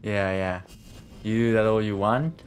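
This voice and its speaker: A man's voice: fairly low pitch, a little slow. A man streaming a game with casual, slangy, joking commentary in a laid-back manner. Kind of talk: casual live gameplay commentary.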